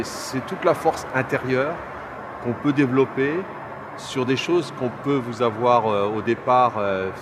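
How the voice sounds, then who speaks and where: A middle-aged man from Kabylie talks reflectively, pausing often and filling gaps with hesitations. Traffic and street noise can be clearly heard around him. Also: mid-range voice. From Kabylie, Algeria. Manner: thoughtful, hesitant, many pauses and fillers. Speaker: interviewee, journalist. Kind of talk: reflective interview talk.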